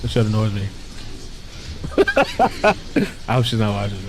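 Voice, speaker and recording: A man's voice: on the low side, level tone, moderate pace, noisy background. A man hosting a live reaction stream, chatting offhand and swearing casually.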